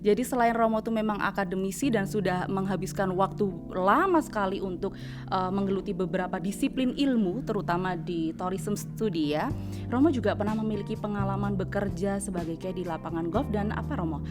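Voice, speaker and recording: A woman's voice: medium pitch, fairly quick, music in the background. A woman hosting a podcast, chatty, with many fillers. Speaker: podcast host, lecturer.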